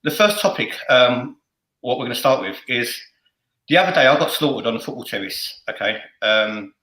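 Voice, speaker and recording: A man's voice: medium pitch, expressive, normal speed, sharp tone. A middle-aged man hosting a casual football fan chat, jokey and crude, often repeating words as he stumbles along. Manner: stammering repetitions, jokey, crude, sweary. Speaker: host of a football fan show. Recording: roomy, echoey sound.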